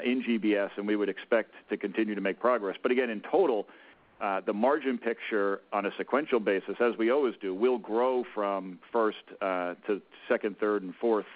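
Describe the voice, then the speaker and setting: A man with a cold, sounding croaky, answering analyst questions on an earnings call with many halting restarts. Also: fairly low pitch. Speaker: company executive answering analysts. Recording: tinny phone line.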